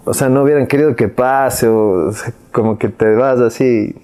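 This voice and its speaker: A man in his thirties with an Ecuadorian Spanish accent, hosting a casual podcast chat with slangy, relaxed questions. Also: medium pitch, normal speed. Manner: slangy, laid-back questioning. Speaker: podcast host. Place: small cozy studio room. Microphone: lapel mic.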